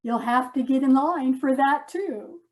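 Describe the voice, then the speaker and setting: An actress playing a polite, unflappable airline gate agent in a comedy sketch, courteous and deadpan. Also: female voice, sits high, a little slow. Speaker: amateur stage actor.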